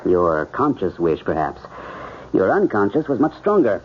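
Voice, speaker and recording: An actor playing a principled, lovelorn surgeon, measured and formal, in 1970s radio drama style. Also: male voice, sits low, big pitch swings, a little hiss or hum. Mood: cool, professional. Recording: dull, band-limited radio drama recording.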